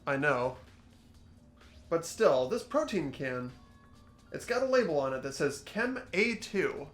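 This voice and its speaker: Casting: amateur playing puzzled, earnest schoolboy detective in a mystery game; animated mid pitch, hesitant delivery. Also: measured pace, soft background music. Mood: thoughtful. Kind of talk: amateur fan voice acting of a game script.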